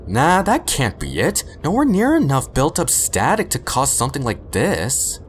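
A man's voice: mid-range voice, huge pitch swings, normal speed. A man playing a brash, uneasy train engineer in an audio story, talking casually and bluntly. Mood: uneasy, irritated. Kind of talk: amateur audio drama acting. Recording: faint background noise.

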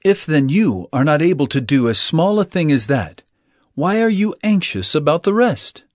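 An actor playing an earnest male teacher of parables in a dramatized audio Bible, speaking in an emphatic, measured, declamatory way. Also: fairly high pitch, big pitch swings, no room sound, clean studio sound. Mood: reassuring, earnest.

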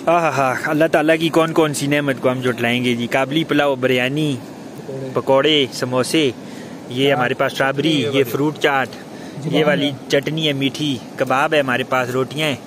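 A man's voice: mid-range voice, fairly even intonation, moderate pace. A young man from Pakistan chatting casually to viewers in a travel vlog, filmed with a camera held at arm's length outdoors and in a car. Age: young adult. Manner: chatty, addresses viewers directly. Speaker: vlogger. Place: outdoors, in a car and on a street and riverbank. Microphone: phone or camera at arm's length. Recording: noisy outdoor phone recording.

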